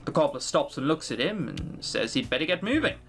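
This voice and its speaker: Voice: mid-range voice, big pitch swings, fairly quick, vocal fry, a bit bright, voice pushed down. A game commentator voicing an offhand poor boy who tells a folk tale in blunt, slangy words. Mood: offhand storytelling.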